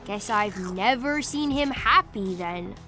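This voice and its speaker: An actress playing a skeptical, curious young daughter in a video game, with a dry, informal, questioning manner. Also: female voice, mid-range voice, expressive, takes it slowly, music in the background. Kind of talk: video game character dialogue.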